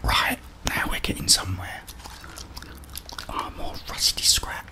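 A young man giving whispered ASMR game commentary in a breathy voice, murmuring short remarks to himself and his viewers. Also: measured pace. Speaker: gaming ASMR host. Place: small indoor room with plain wall.

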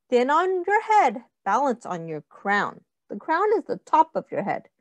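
A middle-aged woman reads a picture book to small children in a high, very animated voice. She goes slowly, in simple phrases, pausing to put questions to her listeners. The sound is close and dry. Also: no room sound. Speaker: early childhood teacher reading aloud.

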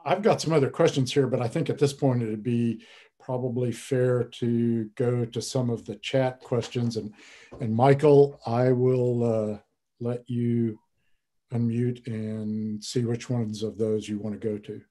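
Older male moderator, mellow tone, measured probing questions, home study on a video call. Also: medium pitch, steady tone. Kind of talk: panel discussion.

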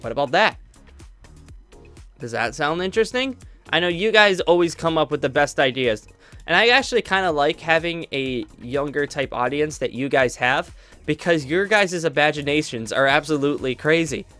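A man's voice: fairly high pitch, lively intonation, moderate pace, pushed and bright. Rambling young man with faint music. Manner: rambling, lots of fillers and restarts. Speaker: YouTube roleplay creator. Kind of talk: casual talk to viewers.

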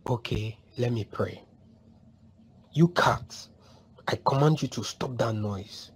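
A man's voice: mid-range voice, slow pace, slight background noise, close to an everyday voice. A man acting a weary pastor in a comedy skit, curt and fed up. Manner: blessing at first, then curt and fed up. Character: weary pastor, losing patience. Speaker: comic actor.

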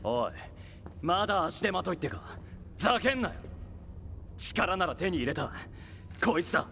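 Hot-headed defiant young demon hunter. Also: male voice, high voice, sing-song, normal speed, bright, forceful tone, a little hiss or hum, heavily acted. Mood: angry, defiant. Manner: heated, brash. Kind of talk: video game cutscene acting.

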